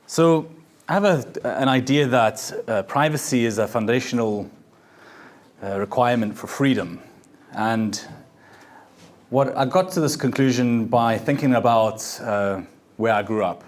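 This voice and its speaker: A man who grew up in South Africa giving a meetup pitch talk, halting, with frequent fillers and restarts. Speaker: startup founder presenting. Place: event venue.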